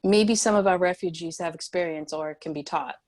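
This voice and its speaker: A guarded, resolute woman leading a resistance, played by an actress at a table read, speaks in a lowish voice. She is matter-of-fact and restrained, with grief held under the surface in an understated screen-drama style. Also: brisk, darkish tone, close to an everyday voice. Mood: businesslike, wary.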